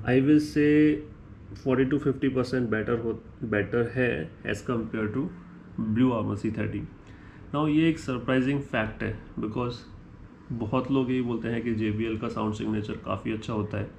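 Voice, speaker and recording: A man's voice: medium pitch, gravelly, a little mellow. Indian man reviewing gear, echoey room. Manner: switches between Hindi and English mid-sentence; ends points with a checking "right?". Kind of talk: unscripted product comparison review, explanatory. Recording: echoey room with faint background noise.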